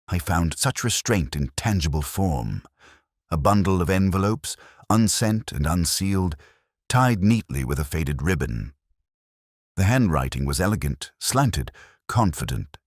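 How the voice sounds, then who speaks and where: A man plays a brooding middle-aged detective who muses aloud in an extremely deep voice that still rises and falls with feeling. His delivery is measured and literary, like a storybook mystery read in the first person. The sound is dry and close, with no room around it. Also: no room sound, exaggerated voice. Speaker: narrator. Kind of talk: scripted first-person detective narration, audio drama.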